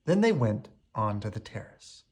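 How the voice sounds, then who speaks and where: A man reading a children's bedtime story aloud with expressive narration. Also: lowish voice, moderate pace. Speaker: storybook reader.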